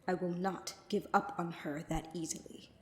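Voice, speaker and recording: A woman's voice: fairly low pitch, fairly even intonation, normal speed, breathy edge. A woman playing a loyal, guarded royal knight in a fantasy audio drama, speaking formally and with composure. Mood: bitter muttering. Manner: formal, composed, coolly defiant. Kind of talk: fantasy audio roleplay acting.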